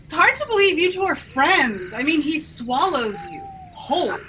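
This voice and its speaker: A woman's voice: high voice, big pitch swings, normal speed, bright, forceful tone, slight background noise, heavily acted. A woman playing a naive, earnest, sheltered fairy-tale maiden in a comic radio play. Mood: curious, earnest.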